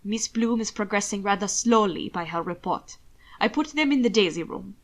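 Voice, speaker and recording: A woman voicing a calm, courteous butler. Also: medium pitch, moderate pace, lightly acted. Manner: formal, polite. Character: proper older male butler, calm and courteous.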